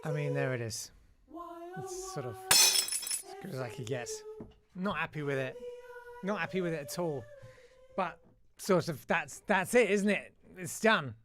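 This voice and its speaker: A man's voice: fairly high pitch, sing-song, a little slow, pauses often, very noisy. A middle-aged British man hosting, chatting to viewers in a rambling, self-mocking way. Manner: rambling, self-mocking, lots of fillers and sighs. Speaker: host. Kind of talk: casual self-deprecating chat to viewers.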